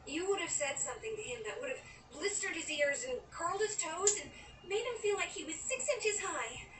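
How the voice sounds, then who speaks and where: A woman's voice: very high voice, animated, moderate pace. An actress playing a wistful, dreamy young woman who tells her own story in an intimate, girlish way in an audio drama. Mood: chatty, tender. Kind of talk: audio drama acting with first-person narration. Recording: roomy, distant, noisy copy of audio drama.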